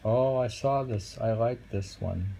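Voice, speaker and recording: A man reading haiku aloud casually, musing and halting, murmuring to himself between poems. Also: fairly low pitch, creaky, dark tone, poor audio. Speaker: reader of a haiku book. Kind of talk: casual poetry read-aloud with musing commentary.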